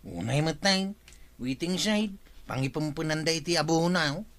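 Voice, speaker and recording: Radio comedy village aide, earnest and firm, sharp slightly high voice. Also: male voice, lively intonation, normal speed. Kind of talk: Ilocano radio comedy drama acting.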